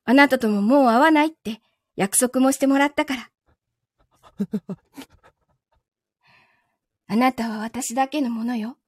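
Woman acting a high, sweetly menacing possessive lover. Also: no room sound, studio quality. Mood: gently menacing. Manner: soft, gentle, unhurried delivery without shouting. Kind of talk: Japanese voice drama acting.

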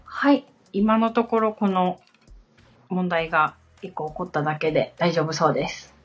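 A woman in her thirties chatting on a podcast as the assistant, polite, halting and reflective. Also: on the low side, fairly even intonation. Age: 30s. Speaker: podcast assistant and guest learner. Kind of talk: casual podcast conversation. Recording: dull lo-fi sound with background music. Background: background music.

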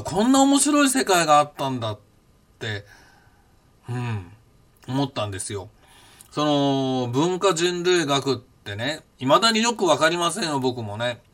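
A man's voice: medium pitch, sharp tone. An older Japanese man hosting a reflective monologue, musing aloud in a rambling way with many fillers and restarts. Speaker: podcast host recounting his past.

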